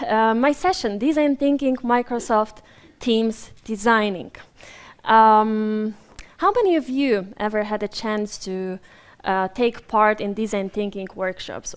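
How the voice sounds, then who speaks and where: A woman's voice: medium pitch, unhurried. A Polish woman giving a live conference talk, chatty and apologetic, with frequent fillers and restarts. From Poland. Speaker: conference speaker. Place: conference room with a live audience. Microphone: handheld or stage microphone while moving around.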